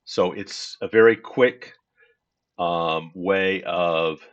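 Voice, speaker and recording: A man talking through his game setup in a relaxed walkthrough, explaining step by step and correcting himself as he goes. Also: low-pitched, muffled recording. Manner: unhurried and explanatory, with frequent self-corrections. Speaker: gaming tutorial host. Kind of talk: casual screen-recorded game walkthrough.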